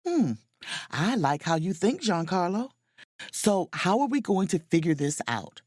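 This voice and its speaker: An actress as a lively, low-voiced woman sleuth. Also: measured pace, no echo, studio quality. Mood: brisk, speculative. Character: woman space officer on holiday, keen amateur sleuth. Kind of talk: romcom audio drama dialogue and first-person narration.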